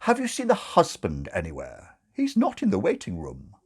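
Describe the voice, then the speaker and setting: A man voicing a brisk, bossy, matronly senior nun with a firm, condescending manner in a storybook reading. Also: on the low side, huge pitch swings, husky, exaggerated voice.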